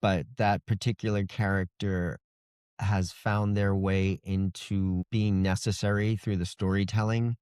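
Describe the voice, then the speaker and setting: A man's voice: deep voice, level tone, unhurried, darkish tone, smooth, dry recording, studio quality. A middle-aged man speaking as an interview guest, telling things in a casual, reflective, conversational way. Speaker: fantasy author, interview guest.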